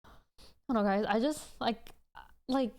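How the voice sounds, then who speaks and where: A woman's voice: a little high, measured pace, a little mellow. A woman in her twenties hosting a livestream, chatting casually with viewers in a rambling way full of "like". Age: 20s. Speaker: livestream host.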